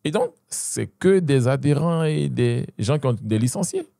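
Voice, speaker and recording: A man's voice: mid-range voice, unhurried, creaky, dry recording, clean studio sound. A young adult man with an Ivorian French accent, a guest talking animatedly in a casual interview, often restarting and checking in with the listener. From Ivory Coast. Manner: animated, repeats and restarts, addresses listener with "tu vois". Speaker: guest, Muay Thai champion.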